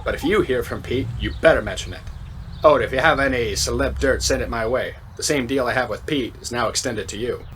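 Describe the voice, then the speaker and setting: An actor playing a cynical, condescending Hollywood gossip reporter in an audio drama, with a sardonic, folksy, patronizing way of talking. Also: male voice, mid-range voice, brisk, fairly bright tone, lightly acted. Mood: wry, patronizing. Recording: clear background noise and ambience.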